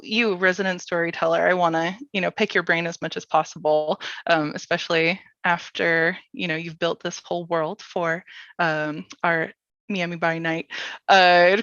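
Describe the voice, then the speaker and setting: A woman hosting a casual panel interview, informal and halting, with lots of "like" and "you know". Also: lowish voice, a bit bright. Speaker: panel host. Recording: dull, lo-fi, roomy sound.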